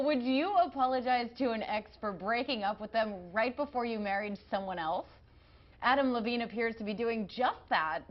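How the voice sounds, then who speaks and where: A woman's voice: on the high side, dull audio. A woman with an American accent reading scripted television news as an anchor.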